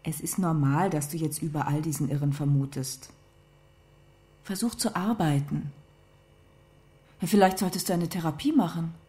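A sceptical, exasperated partner in a radio crime drama, played by a woman. She is dry and pointed, quick to turn dismissive and sarcastic. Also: deep voice, dark tone, lightly acted.

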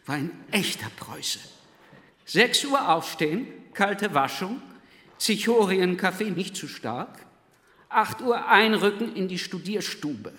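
A pompous old Prussian scholar declaims in a radio play. His voice is high and swings widely, with slow, self-important delivery. It rings in an echoey hall. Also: sharp tone, exaggerated voice. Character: pedantic, pompous old philosopher Kant, rigidly Prussian. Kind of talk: theatrical radio play acting. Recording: echoey hall, long reverb.

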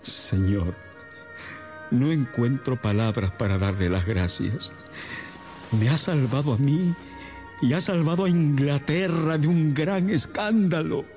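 Slow, lamenting gentleman father. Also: a little high, faint music behind. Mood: humbled, emotional. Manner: melodramatic, lamenting, exclaiming. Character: anguished, remorseful father, a wronged gentleman. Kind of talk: radio drama acting.

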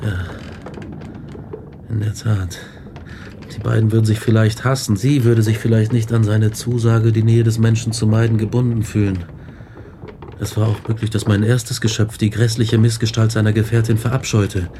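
Man acting brooding scientist in radio drama, lowish voice, grave and haunted, loud noise behind. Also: little pitch movement, normal speed, lightly acted. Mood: despairing. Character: haunted, despairing male scientist confessing his creation.

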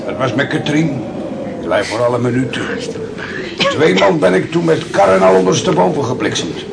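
An actor playing a gruff, grumbling working man with a cart in a stage-like radio drama, on a distant, noisy old recording. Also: fairly high pitch, animated, normal speed. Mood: grim recollection. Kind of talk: stage-like radio play acting.

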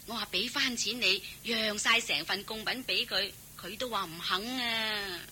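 A woman's voice: mid-range voice, measured pace, sharp tone, slight background noise. An actress playing a shrewd, chatty household servant woman in a Cantonese radio drama, coaxing and practical. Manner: coaxing, colloquial, exclaiming.

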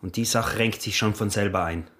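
An actor playing a calculating, domineering peasant smuggler and husband in a radio drama, speaking with an Austrian rural accent. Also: male voice, fairly low pitch, steady tone, brisk, a bit bright, lightly acted. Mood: businesslike, curt.

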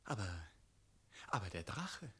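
Male role, radio fairy tale: courteous young wanderer-knight, husky voice, expressive, earnest delivery. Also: on the low side, unhurried, exaggerated voice. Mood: puzzled, probing.

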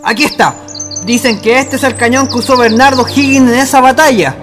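A folksy Chilean caretaker, acted by a man in a radio drama, talking colloquially like a watchman showing visitors around. Clear music plays under the dialogue. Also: extremely high pitch, brisk. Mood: helpful, chatty. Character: wary then helpful male school caretaker, folksy. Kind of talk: amateur educational radio drama.

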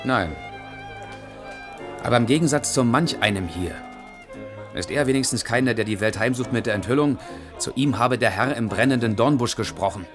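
A man's voice: medium pitch, animated, moderate pace, pauses often, close to an everyday voice. Radio drama male lead: stern and eloquent, played by an actor, mid-range voice, reproving delivery over prominent music. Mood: reproachful, earnest. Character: stern, reproachful, articulate man confronting a woman. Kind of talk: 1980s radio play dialogue. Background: clearly audible music.

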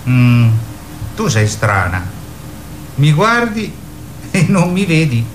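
An actor playing a timid, simple-minded boy in a radio drama, halting, stammering and literal. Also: on the high side, sing-song, slight background noise. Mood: earnest. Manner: halting, stammering, repeats himself.

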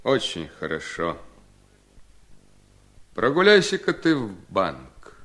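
Low-voiced, commanding master of the house. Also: male voice, wildly swooping pitch, fairly bright tone, heavily acted. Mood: firm. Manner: firm, measured, commanding. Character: Horace, authoritative ailing master of a Southern house. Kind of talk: Soviet radio drama acting.

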